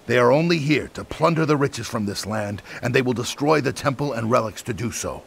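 A man voicing a stern, exacting old martial arts master in an animated game, with clipped, dry, commanding delivery. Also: mid-range voice, brisk, vocal fry, slight background noise.

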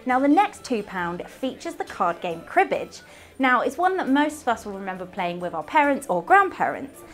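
A British woman in her thirties presents a scripted promo to camera. Her voice is slightly high and swings animatedly in pitch, with music behind it. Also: big pitch swings, moderate pace. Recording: clean voice over background music.

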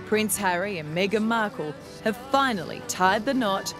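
A woman's voice: mid-range voice, lively intonation, normal speed, over loud music. A woman with an Australian accent reading scripted narration as a television reporter.

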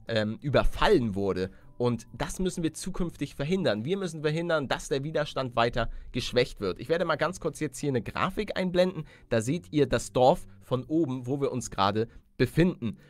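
A man giving casual, chatty gaming commentary as a let's-play host, using youthful slang and addressing his viewers. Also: medium pitch.

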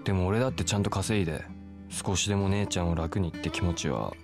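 Hard young street brawler, low pitch, blunt slangy talk, game cutscene, music behind. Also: male voice, quick pace. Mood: remorseful. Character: tough, brusque young male street fighter, hot-headed but caring. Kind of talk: dramatic video game cutscene acting.

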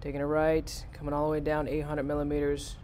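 A man's voice: a little high, monotone, fast talker, darkish tone, slight background noise. A man, a teacher, walking his class step by step through a robot programming task in a screen-recorded lesson. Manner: plain, step-by-step, talks students through it.

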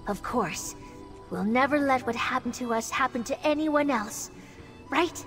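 A woman's voice: sits high, a little slow, a bit bright, soft background music. An actress playing an earnest, affectionate young woman in a fantasy game. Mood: earnest, concerned. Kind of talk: scripted fantasy game voice acting.

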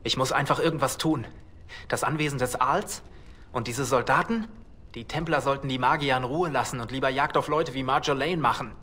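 A man's voice: mid-range voice, a little fast, sharp tone, slightly rough. An actor voicing a nervous male outlaw in a video game, anxious and wryly complaining. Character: nervous male outlaw, fretful, fearful of hanging. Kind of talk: dramatic video game dialogue.

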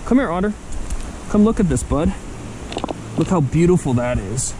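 A man's voice: on the high side, big pitch swings, normal speed. A young man vlogging outdoors on a forest riverbank, talking eagerly to a handheld camera about the rocks he finds. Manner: enthusiastic, chatty, talks to camera and his dog. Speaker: vlogger, rockhound. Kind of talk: casual outdoor vlog narration. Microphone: action camera held at arm's length. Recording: noisy outdoor camera audio.

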